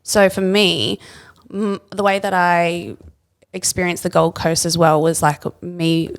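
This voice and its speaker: A young Australian woman chats as a guest on a casual podcast. Her voice sits a little low and has plenty of vocal fry, and she talks in a loose, relaxed way full of fillers. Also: a little slow. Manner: chatty, relaxed, many "like" fillers.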